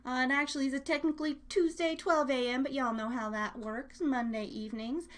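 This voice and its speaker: A woman with a high voice hosts a live internet radio show. She chats casually and talks directly to listeners, hopping from one thing to the next in a scattered way. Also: fairly even intonation, fairly quick. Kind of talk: casual live-stream chat. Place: indoors at home.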